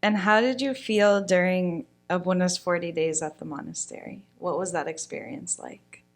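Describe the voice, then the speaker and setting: A woman's voice: on the low side, normal speed. A woman hosting a podcast, asking questions and giving short encouraging replies. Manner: brief encouraging responses. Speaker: podcast host. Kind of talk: scripted-ish interview questions.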